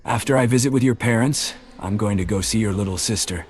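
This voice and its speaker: Brief: narrator doing a jovial, menacing male heavy, low voice, says "mate". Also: a little fast, slight background noise, close to an everyday voice. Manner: cheery tone over quiet threats, says "mate". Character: menacing jovial male enforcer, thickset hired guardian.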